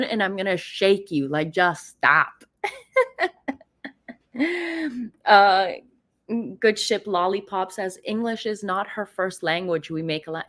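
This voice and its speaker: A woman whose first language is Persian, hosting a livestream in a chatty, animated way, reading viewer comments and laughing often. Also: mid-range voice. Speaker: livestream host.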